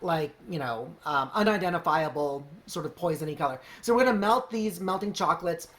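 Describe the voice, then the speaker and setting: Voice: mid-range voice, lively intonation, fairly quick, fairly bright tone. A person giving a casual, unscripted how-to narration as a home baker, in a chatty, rambling, hedging way. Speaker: home baker hosting a craft project video. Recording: clearly noisy home recording.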